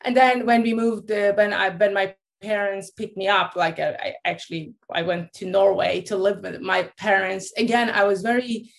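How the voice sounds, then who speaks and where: A woman's voice: mid-range voice, fairly even intonation, normal speed, gravelly, few pauses. A woman in her late thirties, originally from Iran, telling her personal story as a guest with many restarts and fillers. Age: late 30s. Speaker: guest, life coach.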